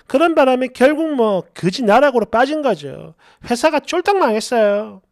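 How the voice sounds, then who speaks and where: A man's voice: very high voice, dry recording, studio quality. A middle-aged man hosting a story channel, reading a first-person story aloud in a colloquial, exasperated, dramatized way with asides.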